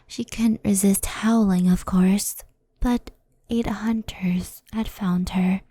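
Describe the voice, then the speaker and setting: Slow, whispery woman as a gentle fox spirit. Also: medium pitch, steady tone, slightly rough, no echo. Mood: somber, hushed. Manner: soft-spoken, often whispery, unhurried explaining. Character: gentle female fox spirit demon, wistful storyteller. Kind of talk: ASMR roleplay, soft storytelling. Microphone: close headphone ASMR microphone.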